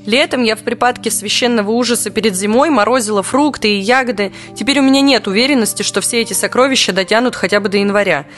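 A woman's voice: on the high side, fast talker, hardly any pauses, fairly bright tone, soft background music, lightly acted. An actress playing an anxious, reflective young woman in a diary-like audio play monologue. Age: young adult. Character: young Kyiv woman, anxious and reflective, living through wartime blackouts.

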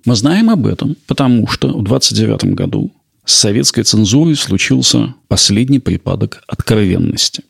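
Gravelly low-voiced male narrator. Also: expressive. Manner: measured, explanatory, occasional slips. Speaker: podcast host. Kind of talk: scripted historical narration.

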